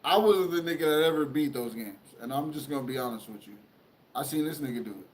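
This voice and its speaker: A man's voice: mid-range voice, quick pace, rough recording. Young man, podcast host, casual profane rambling, faint background noise. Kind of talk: casual chat.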